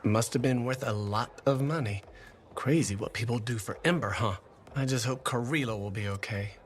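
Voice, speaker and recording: An actor playing a good-natured, talkative would-be actor in casual video game dialogue. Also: male voice, mid-range voice, moderate pace, slight background noise, natural voice. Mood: chatty, friendly. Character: chatty, good-natured male acquaintance, aspiring actor.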